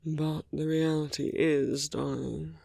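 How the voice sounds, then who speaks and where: A man playing a teasing yet tender villain in an intimate ASMR roleplay, speaking in hushed, unhurried phrases with creaky dips toward a whisper. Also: on the high side, little pitch movement, no echo, studio quality, heavily acted. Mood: tender, earnest. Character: smooth teasing male villain, tender toward his enemy.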